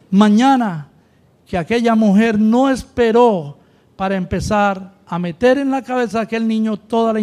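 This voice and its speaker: A male pastor preaching a sermon, calling out to the congregation with rising emphasis. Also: very high voice.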